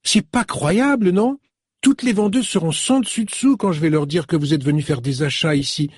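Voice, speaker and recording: A man's voice: on the high side, moderate pace, a little mellow, slightly rough, no room sound, clean studio sound, voice pushed up. A man voicing a cheerful, chatty young female shop assistant in an audiobook. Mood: eager. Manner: chatty, eager, exclamatory.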